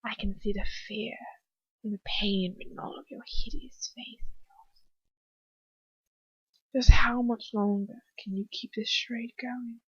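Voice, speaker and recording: A cold male villain, voiced by a woman reading a story, speaking in a menacing whisper. The words are deliberate and breathy, with quiet sadism, on a slightly muffled, dry recording. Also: mid-range voice, steady tone, no echo. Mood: cold menace.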